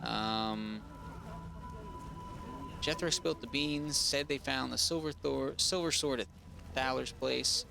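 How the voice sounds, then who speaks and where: Let's-play host: man, mid-pitched, slightly raspy, rambling casual delivery, music under him. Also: slow pace, long pauses. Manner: rambling, full of ums and restarts, reads quest log aloud. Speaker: gaming streamer.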